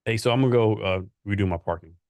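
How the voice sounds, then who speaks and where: Low-voiced man joking around, dry sound. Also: fast talker, a little mellow, no room sound. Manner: teasing, loose, slangy. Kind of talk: joking casual chat.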